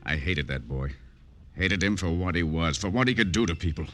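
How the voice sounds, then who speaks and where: Protective, embittered father, middle-aged, very low, tense, formal radio delivery. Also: lively intonation, a bit bright, soft background music. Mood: bitter, resolute. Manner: formal, measured, emphatic. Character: bitter, protective father of a grown daughter. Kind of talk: old-time radio drama acting.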